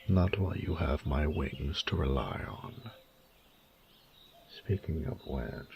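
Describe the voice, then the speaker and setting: A man's voice: slight background noise, exaggerated voice. A man voicing a huge, proud male dragon in a romantic audio roleplay, doting and teasing, with formal, courtly phrasing and intimate murmurs. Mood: warm, inviting. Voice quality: very deep, gravelly, breathy murmurs.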